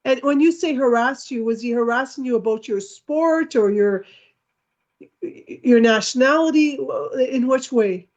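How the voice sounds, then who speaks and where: A woman interviewing for a commission over a video call, asking polite, gently probing questions. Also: fairly high pitch, unhurried. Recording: slightly muffled video call audio.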